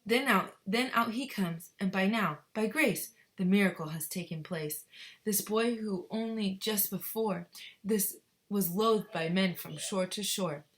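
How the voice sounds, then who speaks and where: A woman voicing tiny factory workers from a children's storybook in a rhythmic, drawn-out rhyming chant, gleeful and moralizing. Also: mid-range voice, lightly acted. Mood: gleeful warning.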